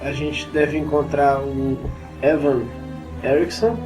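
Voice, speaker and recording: A man's voice: a little high, fairly even intonation, takes it slowly. A Brazilian man chatting casually as a player in a tabletop role-playing game. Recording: background music under the voice. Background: clear music.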